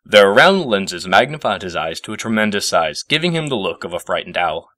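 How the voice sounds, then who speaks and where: A man narrating a scripted story as a reader, in an expressive storytelling manner. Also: fairly low pitch, a little fast, dry recording, studio quality. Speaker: fan fiction reader.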